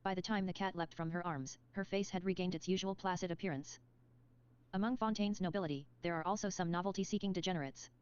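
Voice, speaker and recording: A woman reading scripted story narration in a measured, articulate storybook manner. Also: fairly low pitch, fairly even intonation, a little mellow, clean tone, dull audio, close to an everyday voice. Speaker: narrator of character lore.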